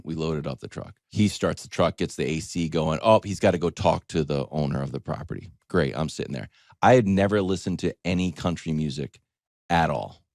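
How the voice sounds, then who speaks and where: A man with a low voice speaks in a dry, close recording. He talks like a sports host sharing a personal story, conversational and emphatic as he makes his points. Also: vocal fry, darkish tone, no echo. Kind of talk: casual anecdotal storytelling and sports opinion.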